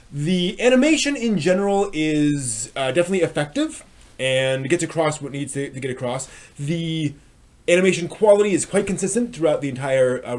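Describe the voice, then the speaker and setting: A man hosting a live stream review, talking unscripted in a halting way, often repeating and rephrasing himself. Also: a little high, darkish tone. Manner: frequent throat clearing.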